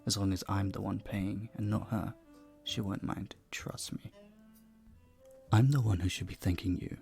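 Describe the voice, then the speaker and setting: Man playing a low, creaky-voiced vampire bartender. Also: steady tone, moderate pace, a little mellow, faint music behind. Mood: warm, flirtatious. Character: earnest, courteous, flirtatious male vampire bartender. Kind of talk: intimate scripted audio roleplay.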